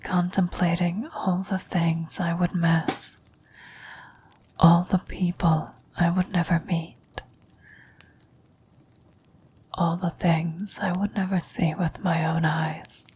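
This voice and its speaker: Woman doing a frail old lady on her deathbed, asmr whisper, really slow n halting, low flat voice, wistful, philosophical. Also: slight background noise. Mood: wistful, reflective. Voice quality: breathy whisper. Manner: slow, halting, reflective delivery with long pauses. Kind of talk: whispered ASMR roleplay monologue.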